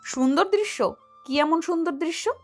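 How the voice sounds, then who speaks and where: A woman's voice: very high voice, normal speed, faint music behind. A woman playing a stern, teasing young tutor who acts like a bossy older sister in an audio romance story. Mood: curious, teasing.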